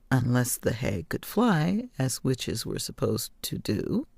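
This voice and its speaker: A woman narrating a scripted story as an audiobook reader, expressive and deliberate. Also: deep voice, no room sound, clean studio sound. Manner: deliberate, measured.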